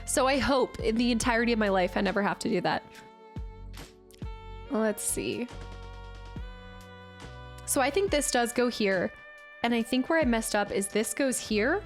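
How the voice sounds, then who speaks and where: Female streamer, slightly high voice with a touch of congestion, chatty and informal, replying to viewers over background music. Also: unhurried, pauses often. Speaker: livestream host. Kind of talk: casual live stream chat while building.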